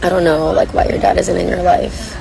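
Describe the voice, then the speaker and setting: A young woman with a hoarse, worn voice chats casually while reading viewer comments on a livestream from a coffee shop. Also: fairly low pitch, flat delivery, a little fast, noisy background. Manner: chatty, rambling, reads comments aloud. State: hoarse, lost voice. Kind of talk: casual chat.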